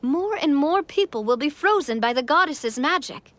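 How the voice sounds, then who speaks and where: A woman playing a kind, composed young monarch in an English-dubbed fantasy game cutscene, speaking in an earnest, reassuring and measured way. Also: high voice, lively intonation, fairly bright tone, dry recording. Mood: gentle, earnest.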